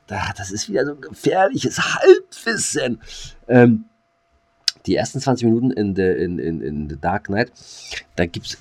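Low-voiced man rambling casually. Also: darkish tone, dry recording. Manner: rambling, many fillers and restarts. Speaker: podcast co-host. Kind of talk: casual chat.

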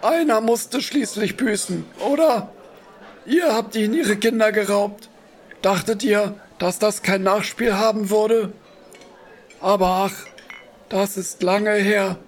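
An actor playing a skeptical, lordly medieval official questioning two travellers in a radio drama. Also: male voice, high-pitched, fairly even intonation, slow. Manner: doubting, lordly, then generous. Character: skeptical, haughty medieval lord or guard.

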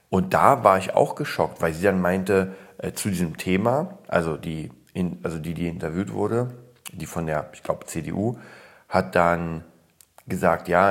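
A man from Berlin, born in Poland, hosting a podcast and chatting casually with lots of restarts and fillers. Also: sits low. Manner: rambling, frequent restarts and fillers, blunt. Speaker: podcast host. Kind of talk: casual opinion chat.

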